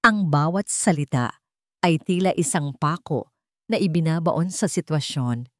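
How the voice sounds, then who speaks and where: Low-pitched Filipino woman narrating dramatic fiction. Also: unhurried, no echo, studio quality. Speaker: story narrator. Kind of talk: scripted dramatic narration of a Tagalog love story.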